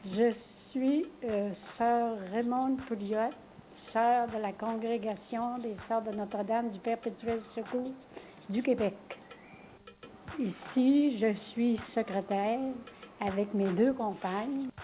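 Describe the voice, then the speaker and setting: A woman's voice: fairly high pitch, steady tone, slow, mellow, soft background music, rough recording. A woman, a nun from Quebec, introducing herself in an interview. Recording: faint background noise.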